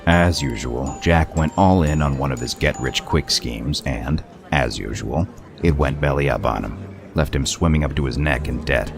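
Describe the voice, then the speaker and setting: A gruff detective played by a man in an audio drama. The voice is extremely low and creaky, with a dry, sardonic edge. Music plays clearly underneath. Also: moderate pace. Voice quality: gravelly. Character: gruff, sardonic male detective laying out a case. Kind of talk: audio play acting, detective explaining a case.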